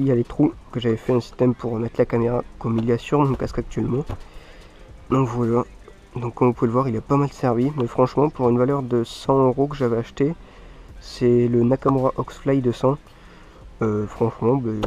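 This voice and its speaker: A man's voice: medium pitch, level tone, brisk, dark tone, muffled recording. A man with a head cold and a stuffy voice, chatting casually to viewers as a mountain bike vlogger while showing off his gear. He rambles and leans on the same filler phrases again and again. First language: French.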